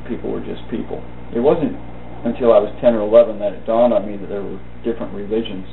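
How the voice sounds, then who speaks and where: A man reading a personal essay aloud as a thought for the day, in a measured, halting way, phrase by phrase. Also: lowish voice, steady tone, creaky. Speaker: reader of a thought-for-the-day video. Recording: tinny band-limited audio, roomy echo, faint hum.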